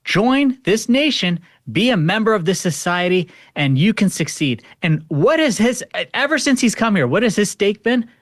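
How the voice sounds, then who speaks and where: A man's voice: high voice, big pitch swings, moderate pace, few pauses, dry recording, studio quality. An American man giving opinionated political panel talk in a sardonic way, repeating phrases for emphasis. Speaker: podcast host appearing as panel guest.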